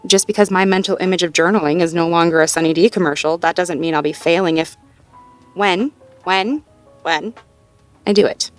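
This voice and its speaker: A woman acting as a caring girlfriend with a slightly low voice. She speaks to her partner in an intimate audio roleplay, gentle and encouraging, with easy teasing and natural, conversational hesitations. Music plays faintly underneath. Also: steady tone, moderate pace, lightly acted. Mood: playful, amused. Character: supportive, playful girlfriend helping partner problem-solve.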